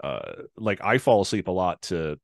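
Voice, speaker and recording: A man's voice: sits low, sing-song, normal speed, gravelly, no room sound, clean studio sound. A man in his forties with a nasal voice, chatting as a podcast guest over a video call. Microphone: headset and podcast microphone on video call.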